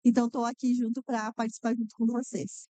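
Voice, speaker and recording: A woman's voice: a little high, little pitch movement, fast talker, mellow, no room sound. A woman co-moderating a podcast, chatting cheerfully and hesitantly with many fillers. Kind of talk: casual chat.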